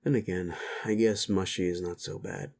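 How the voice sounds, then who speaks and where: A man playing a gruff, sleazy werewolf boss with a hidden soft side, talking rough slang in an intimate, halting audio drama style. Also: low voice, steady tone. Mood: gruff, flirtatious.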